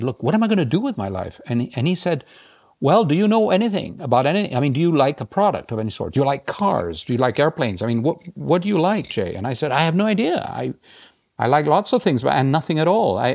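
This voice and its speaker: A man from South America and Europe talks as a guest in a casual interview. His voice is gravelly with vocal fry at an average male pitch, and he tells things in a hesitant, self-deprecating way. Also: darkish tone. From grew up across South America and Europe, born in Brazil. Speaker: interview guest, head of quantitative investing. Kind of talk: casual conversational storytelling.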